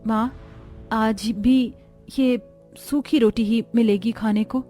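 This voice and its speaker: A woman's voice: a little high, steady tone, slow pace, dark tone. A woman voicing a worried child of a poor village family in a cartoon story, anxious and plaintive. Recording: music behind voice.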